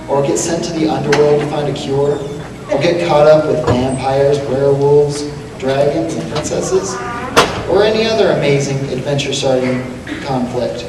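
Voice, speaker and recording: A man's voice: fairly high pitch, normal speed. Echoey young man performing on stage. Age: teens to young adult. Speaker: student performer. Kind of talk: readers theatre monologue. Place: school auditorium stage. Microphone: stand mic, heard from distant camera. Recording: echoey hall, distant mic, noisy.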